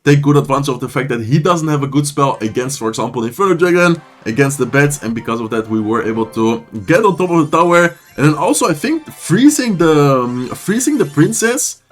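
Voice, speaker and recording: A man with a sore throat giving fast, casual play-by-play commentary over his own gameplay. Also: fairly high pitch, animated, soft background music. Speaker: gaming YouTuber.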